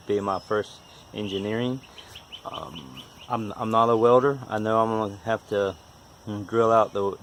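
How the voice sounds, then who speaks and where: Low-voiced man with a stutter. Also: slight background noise. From West Virginia, United States. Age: 40s. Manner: halting, self-deprecating, folksy. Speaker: vlogger, amateur car mechanic. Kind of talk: casual unscripted vlog talk.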